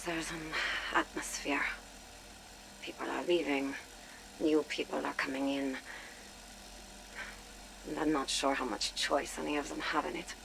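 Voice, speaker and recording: An actress playing a wary, sardonic intelligence agent confiding in a game audio log, in a dry, frank, weary way. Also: female voice, sits low, creaky, slight background noise, rough recording. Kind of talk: dramatic audio log monologue.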